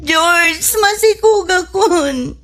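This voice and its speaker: Actress as whiny, boastful young Ilocano woman for comedy radio drama; very high, bright, drawn-out delivery. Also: exaggerated voice. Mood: tearful, pleading. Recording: music bed behind the voice.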